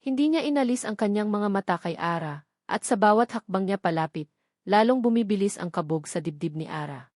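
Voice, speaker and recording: A Filipino woman reads a dramatic story in a scripted narrator's style. Her pitch sits in the middle for a woman. The recording is dry, with very little room around the voice. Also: lively intonation, brisk, a little mellow, dry recording.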